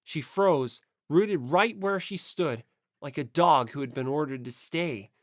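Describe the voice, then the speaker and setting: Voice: mid-range voice, unhurried, no echo, studio quality. An audiobook narrator reading a first-person story from a script.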